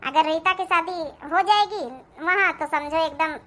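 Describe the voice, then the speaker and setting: Someone voicing a very high, bright young daughter-in-law. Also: a little slow, full character voice. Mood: reassuring. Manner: reassuring, take-charge, speaks standard Hindi. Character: kind, confident young city-bred daughter-in-law. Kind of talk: Hindi family cartoon dialogue.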